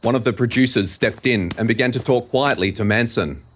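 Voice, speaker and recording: A man's voice: medium pitch, fairly quick, a little hiss or hum. A man narrating scripted fiction for an audio drama in a dramatic storytelling style.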